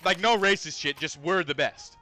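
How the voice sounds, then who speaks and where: A male streamer talks over his game in a bright, sharp-edged voice that keeps jumping into loud, cursing outbursts. Faint music sits under his voice. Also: fairly high pitch, normal speed. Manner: loud, profane, excitable outbursts. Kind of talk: casual live gameplay commentary.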